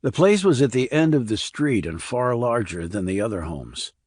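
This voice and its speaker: A man's voice: medium pitch, sing-song, moderate pace, darkish tone, no echo, studio quality. A man reading scripted crime thriller narration as an audiobook narrator.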